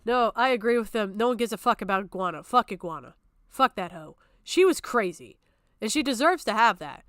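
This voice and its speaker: A woman's voice: medium pitch, expressive, a little fast, fairly bright tone, no echo, clean studio sound. A woman streamer giving heated off-the-cuff commentary, blunt and emphatic, swearing freely and repeating herself to make her point. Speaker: streamer reacting to a recorded stream.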